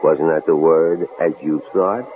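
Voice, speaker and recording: An actor playing a menacing, bullying killer who taunts in folksy, mocking commands, in a radio suspense drama. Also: male voice, a bass voice, fairly even intonation, measured pace. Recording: muffled, noisy old radio recording.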